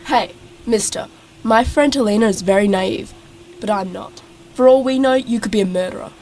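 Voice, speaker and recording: In an echoey room with faint noise, a woman acting as a suspicious, street-wise young woman talks in a mid-pitched voice. She is brash and matter-of-fact, playing the sceptic of an audio drama. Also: normal speed, a little mellow, close to an everyday voice. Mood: wary, threatening.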